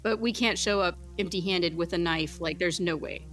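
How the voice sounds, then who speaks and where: A woman's voice: on the low side, normal speed, a bit bright. A woman playing a tabletop roleplaying game, talking casually in and out of character.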